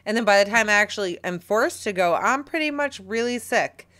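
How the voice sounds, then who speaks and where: A woman in her thirties co-hosting a casual podcast, chatty, teasing and animated. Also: medium pitch, brisk. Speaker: podcast co-host. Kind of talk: casual chat.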